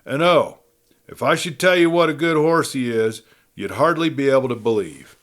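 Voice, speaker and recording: A man's voice: medium pitch, normal speed, a bit bright, voice pushed up, natural voice. A man voicing an earnest, trusting young woman who speaks frankly and affectionately in a western audiobook. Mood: earnest, proud. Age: young adult. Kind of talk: audiobook character reading of a western.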